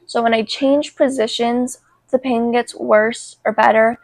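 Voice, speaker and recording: A young woman vlogging casually about her life, congested with a cold, talking in an informal, halting way. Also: fairly high pitch, steady tone, no room sound, rough recording. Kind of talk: casual chat to camera.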